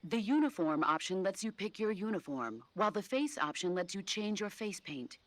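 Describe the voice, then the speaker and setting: Lady acting a calm support officer on a game briefing, mid voice, patient but firm, super dry sound. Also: medium pitch, normal speed, no echo, lightly acted. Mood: instructive. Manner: clear, patient, firm explaining. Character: composed, instructive female mission support officer. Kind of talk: video game tutorial briefing.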